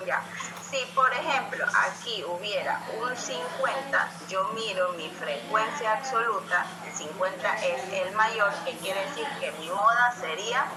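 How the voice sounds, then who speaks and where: A woman's voice: medium pitch, a little slow. A woman teaching statistics, explaining step by step and checking often that her students follow. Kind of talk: classroom lesson. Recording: echoey room with loud music behind.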